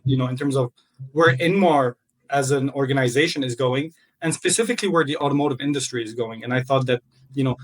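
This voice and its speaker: Lebanese-born young man, halting speech. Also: mid-range voice, low-quality recording. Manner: hesitant, many fillers and restarts. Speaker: podcast guest. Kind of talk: casual interview.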